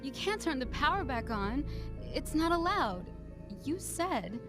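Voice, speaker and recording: A woman's voice: sits high, sing-song, measured pace, music in the background, full character voice. An actress playing a troubled, vulnerable young woman in a video game cutscene. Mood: dreamy, unhinged. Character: dazed, lovesick young woman under dark influence, then shaken.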